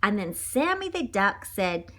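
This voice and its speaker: A woman reading a children's story aloud as narrator, in an expressive storytelling style. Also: mid-range voice, a little slow. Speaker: storybook reader.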